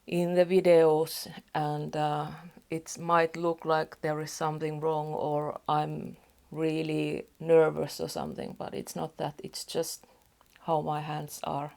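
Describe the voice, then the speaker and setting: A woman with a Finnish accent, chatting casually at home as a beauty vlogger, soft-spoken and rambling with small restarts. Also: sits low, monotone, slow pace, creaky. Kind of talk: casual get-ready-with-me chat. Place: home apartment.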